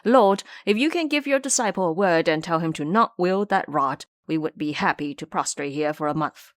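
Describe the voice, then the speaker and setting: A woman's voice: lowish voice, animated, moderate pace, dry recording, clean studio sound, lightly acted. A woman voicing a frightened, obsequious poor male monk who pleads, in an audio drama. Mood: begging. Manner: pleading, explanatory.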